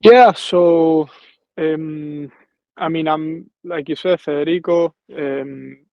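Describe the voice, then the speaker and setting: A man's voice: a little high, slow, darkish tone, no echo, muffled recording. A man in his twenties from Venezuela, reflecting easily on his football career as an interview guest. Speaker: professional football player, guest. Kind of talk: casual interview.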